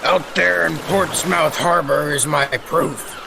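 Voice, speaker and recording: An actor playing a stubborn, superstitious old sailor who speaks in emphatic salty dialect, in old-time radio style. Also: male voice, on the high side, steady tone, slow, creaky, noisy background, exaggerated voice. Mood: insistent.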